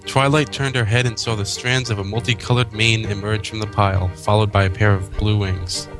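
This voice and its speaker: Man, storyteller, scripted narration, lower pitch, music clearly behind. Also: steady tone, fairly quick. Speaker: fan fiction reader.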